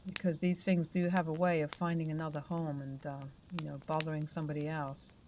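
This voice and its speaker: Female narrator, low-pitched, soft conversational storytelling of paranormal tales. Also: little pitch movement, fairly quick, background noise.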